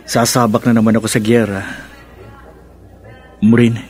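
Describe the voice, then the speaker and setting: A man's voice: on the low side, little pitch movement, unhurried, a little mellow, lightly acted. Earnest young soldier sweetheart played by a man. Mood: tender, worried. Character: devoted young male soldier, tender, worried sweetheart. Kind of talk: radio drama acting. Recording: music under the voice. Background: music.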